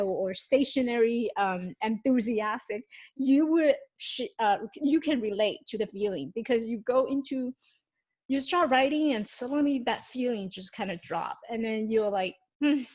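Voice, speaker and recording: A woman in her thirties whose first language is Mandarin Chinese, talking casually in English to her channel's viewers, with frequent ums and restarts as she shows her notebooks. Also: on the high side. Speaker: YouTube host. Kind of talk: casual vlog talk.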